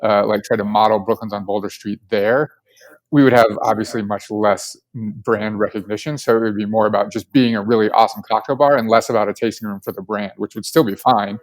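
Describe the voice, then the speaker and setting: Low-voiced, enthusiastic man. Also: fairly even intonation, a little fast, rough recording. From Colorado. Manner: enthusiastic, filler-heavy with lots of "like". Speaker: distillery co-founder, guest. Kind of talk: casual podcast interview.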